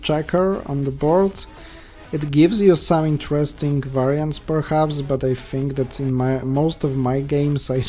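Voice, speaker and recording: A man's voice: a little high, warm tone. Polish-accented man commenting his live games. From Poland. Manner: thinks aloud, chatty asides to viewers. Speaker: checkers streamer.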